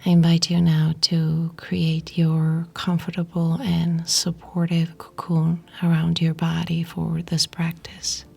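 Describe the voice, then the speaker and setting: A woman guiding a yoga nidra meditation in a breathy, whispery, husky voice, soothing and unhurried, with pauses between short phrases. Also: lowish voice, a little mellow. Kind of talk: guided meditation narration.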